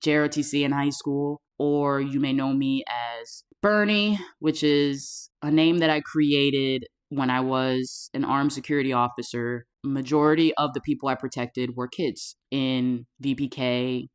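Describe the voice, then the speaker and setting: A woman in her thirties from South Florida, a safety coach telling her personal story to the camera in an earnest, deliberate way and slowing down to stress key words. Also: very deep voice, steady tone, clean tone. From South Florida, USA.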